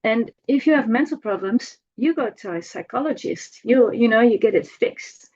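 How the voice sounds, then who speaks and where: A middle-aged woman giving an informal spoken remembrance, halting as she searches for words and repeats herself. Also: a little high, lively intonation, a little mellow, lo-fi sound. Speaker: devotee giving a personal homage.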